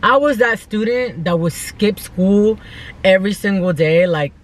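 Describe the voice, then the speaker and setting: A woman's voice: mid-range voice, a little slow. A woman in her twenties from the United States talking casually and earnestly in an unscripted vlog, with informal, emphatic phrasing. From United States, born in El Salvador. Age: 20s. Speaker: vlogger.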